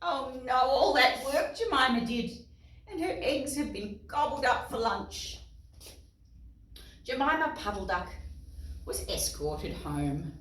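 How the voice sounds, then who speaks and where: A woman reading a story aloud to young children in an expressive, dramatic way, with asides to her listeners. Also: high-pitched, slow, long pauses. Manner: expressive, dramatic gasps and exclamations. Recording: roomy echo, distant mic, music.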